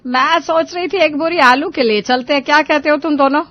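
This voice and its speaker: A woman's voice: high-pitched, fairly quick, no echo, dull audio, pitched above the performer's own voice, lightly acted. A woman voicing a peacemaking older mother-in-law in a cartoon family story. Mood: cheerful. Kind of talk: cartoon family drama acting.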